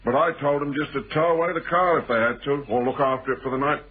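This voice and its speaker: A man's voice: fairly high pitch, fast talker, natural voice. A thin, hissy vintage radio play recording carries an actor as a breezy English man with menace under his chumminess. He drawls knowingly, like someone passing himself off as a harmless traveller. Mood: glib, evasive. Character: smooth, sinister man posing as a stranded commercial traveller. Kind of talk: British radio thriller acting. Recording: noisy, tinny old radio drama recording.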